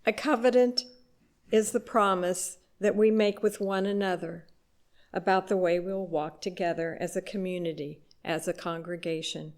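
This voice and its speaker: A woman with a slightly low voice addresses a congregation as worship leader. Her delivery is scripted and liturgical. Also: fairly even intonation, moderate pace.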